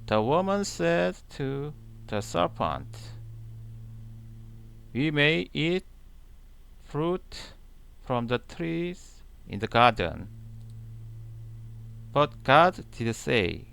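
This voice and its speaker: Guy reading the bible out loud super slow, short phrases, pitch moves a lot, bit of creak. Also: big pitch swings. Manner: deliberate, halting short phrases. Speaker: channel host reading the Bible aloud. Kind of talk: slow scripture read-aloud.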